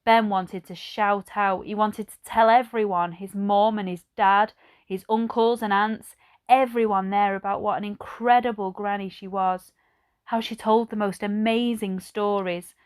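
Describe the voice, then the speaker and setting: A woman reading a children's story aloud like a teacher, with measured, expressive phrasing. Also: medium pitch, clear, resonant tone.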